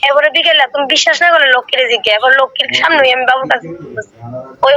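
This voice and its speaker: A woman's voice: high-pitched, racing, bright, forceful tone, low-quality recording. A woman with an eastern Bengali dialect in casual domestic talk on a recorded call. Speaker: wife on a call with her husband. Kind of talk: casual domestic phone chat, arguing.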